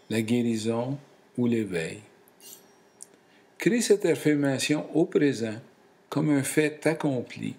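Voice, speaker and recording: A man leading a guided relaxation in a calm, soothing and deliberate way, in short phrases. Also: medium pitch, vocal fry. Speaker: guided meditation instructor.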